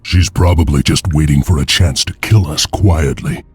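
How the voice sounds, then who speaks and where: An actor playing a grim, defiant wolf-clan slave warrior in a video game, with a deep, husky, grave voice. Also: male voice, moderate pace, no echo, full character voice. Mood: grim resolve. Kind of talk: video game cutscene acting. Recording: music behind.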